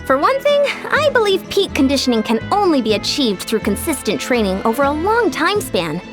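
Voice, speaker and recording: High lively coach lady, teasing but sensible, game music clearly under. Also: moderate pace. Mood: earnest. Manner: playful teasing, then earnest explaining. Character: upbeat fitness coach woman, teasing, sensible and friendly. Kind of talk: video game cutscene dialogue.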